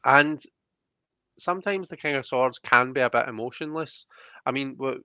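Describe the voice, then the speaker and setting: Guy doing a tarot reading, mid voice, thinking out loud, dry but kinda dull lo-fi audio. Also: medium pitch, moderate pace, smooth, no room sound. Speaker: tarot reader. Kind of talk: conversational tarot card reading.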